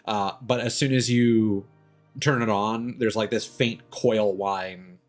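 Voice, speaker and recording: A man's voice: on the low side, steady tone. Rambling male game streamer, music behind. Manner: rambling, halting, mildly griping. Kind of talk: casual live game stream commentary.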